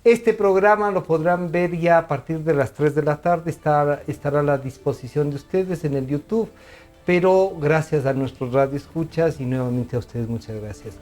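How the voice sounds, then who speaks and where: A man's voice: fairly high pitch, normal speed, a little mellow, soft background music. A man hosting a radio interview, asking questions and acknowledging guests often with short replies. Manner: frequent short acknowledgements, friendly closing.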